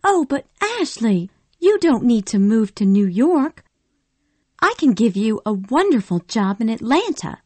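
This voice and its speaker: A woman voicing an impulsive, emotional young Southern woman in a storybook reading, speaking in a high pleading voice. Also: sing-song, measured pace, slightly rough, no room sound, heavily acted. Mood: eager. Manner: pleading and tearful, high and bright.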